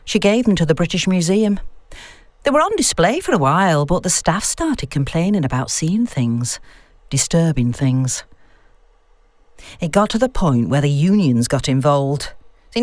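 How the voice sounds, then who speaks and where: Woman reader as knowing female academic, spooky storyteller, unflustered, audiobook dialogue, lively intonation, dry recording. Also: on the low side, no echo.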